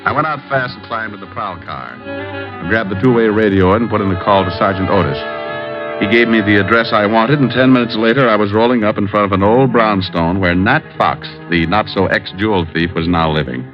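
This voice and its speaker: A cool, wisecracking private eye with a low, easy voice, as a man plays him in a radio drama. He drops dry one-liners and narrates his case in a plain, matter-of-fact way. The sound is dull and lo-fi, like an old radio broadcast. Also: brisk, music in the background, lightly acted. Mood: narrating. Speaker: detective lead and narrator. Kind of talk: 1950s radio drama acting.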